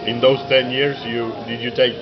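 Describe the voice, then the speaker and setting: A man's voice: medium pitch, level tone, normal speed. A man from Argentina interviewing a guest in a casual, hesitant way, with many fillers. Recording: dull recording with music behind.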